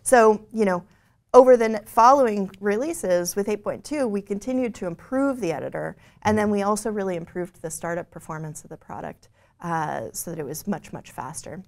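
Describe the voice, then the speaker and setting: A woman in her forties explaining software features on a studio stage, fluent but full of "you know" fillers and small restarts. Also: medium pitch, moderate pace. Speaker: product lead presenting the software. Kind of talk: scripted-feeling tech keynote conversation. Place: bright studio stage with screen behind.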